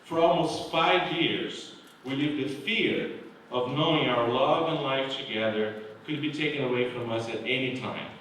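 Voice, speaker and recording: Man, earnest measured speech, middle pitch, booming hall echo, faint background noise. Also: a bit bright, distant mic. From Brazil. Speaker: award honoree. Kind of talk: acceptance speech. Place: large hall. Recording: very echoey hall, mic from afar.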